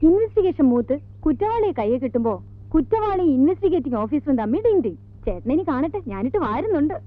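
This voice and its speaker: Casting: a self-possessed woman with a high voice, thin phone-like old film sound. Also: very animated, quick pace. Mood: teasing. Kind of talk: 1980s Malayalam film acting.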